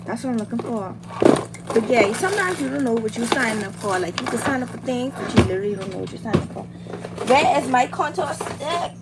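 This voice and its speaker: A Bahamian woman hosts a casual livestream over noticeable background noise. Her voice sits a little high, and she talks in an informal, motivational girl-talk style, repeating phrases to drive them home. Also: expressive, a little fast. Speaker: livestream host, beauty and hair content creator. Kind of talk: casual chat while doing makeup, motivational girl talk.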